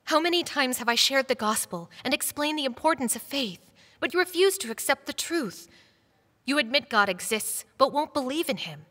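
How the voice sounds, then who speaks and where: A woman's voice: sits high, expressive, moderate pace, a bit bright. A woman playing a devout, defiant middle-aged wife in a dubbed stage drama, earnest, preachy and challenging. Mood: indignant, reproachful. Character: devout, defiant wife pursued for her faith. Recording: reverberant hall-like sound.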